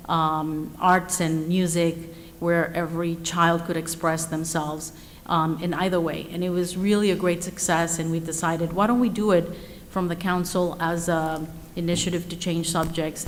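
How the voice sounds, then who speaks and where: A woman giving a candidate speech at a school board forum, pausing often with many ums. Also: on the low side. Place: school cafeteria. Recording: hall reverb, faint room noise.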